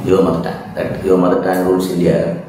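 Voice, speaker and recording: A man's voice: low voice, monotone, quick pace, distant mic. A man on an interview panel asking blunt, pressing questions. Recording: echoey room from afar with clear background noise.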